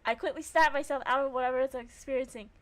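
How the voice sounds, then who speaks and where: A woman's voice: high voice, fairly even intonation, quick pace, fairly bright tone. A young woman streamer giving casual game commentary and reading narration aloud, self-deprecating and free with swearing.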